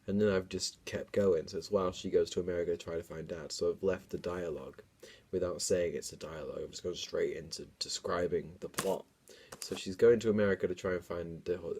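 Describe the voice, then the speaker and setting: A man's voice: low-pitched, creaky, a little mellow, breathy edge. A young man doing a dramatic reading of a story, breaking into casual, self-mocking asides. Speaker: comedian reading own childhood book.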